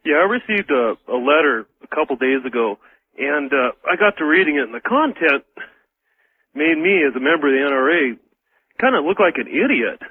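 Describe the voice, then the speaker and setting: An American man phoning a radio show, chatting casually and haltingly as he searches for his words. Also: fairly high pitch, big pitch swings, slight background noise. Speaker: first-time radio caller. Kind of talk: casual call-in chat. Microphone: telephone. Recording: thin phone line on radio.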